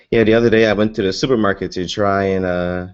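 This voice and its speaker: A man's voice: on the low side, normal speed, smooth, dull audio. A young American man chatting casually in a live Q&A vlog, rambling with many fillers and restarts. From United States. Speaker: vlogger.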